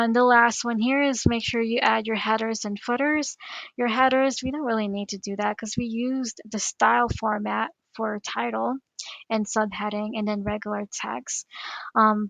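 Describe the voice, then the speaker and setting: A woman's voice: fairly high pitch, creaky, a bit bright, dry recording, poor audio. A woman with a Filipino accent walking teachers through a screen-recorded tutorial, chatty and step by step. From Philippines. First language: Tagalog. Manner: chatty, explains step by step while clicking. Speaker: teacher coach.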